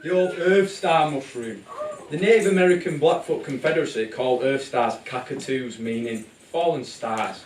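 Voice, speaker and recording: A man's voice: mid-range voice, normal speed. A man narrating a live puppet show in a comic, theatrical style, playful and exclamatory. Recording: very noisy, roomy, distant live bootleg.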